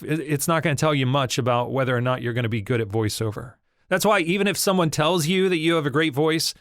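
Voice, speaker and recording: A man hosting a podcast on voice acting, giving steady, friendly advice to beginners. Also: fairly high pitch, no room sound, studio quality. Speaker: podcast host and voice-over coach. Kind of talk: scripted podcast teaching.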